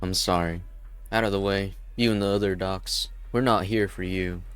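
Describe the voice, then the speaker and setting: Man playing a bitter, defiant rebel leader, lowish voice. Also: fairly even intonation, normal speed, fairly bright tone, clean tone, dry recording. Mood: cold, threatening. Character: bitter, defiant man leading an armed group of avengers. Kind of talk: fan dub of a game story.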